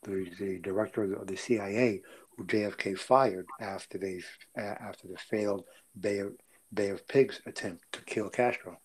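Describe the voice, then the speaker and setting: Blunt, low-voiced man in political talk. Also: fairly even intonation, moderate pace, slightly rough, poor audio. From United States. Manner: blunt and dismissive, chuckles between remarks. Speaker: podcast co-host. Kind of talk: casual political discussion.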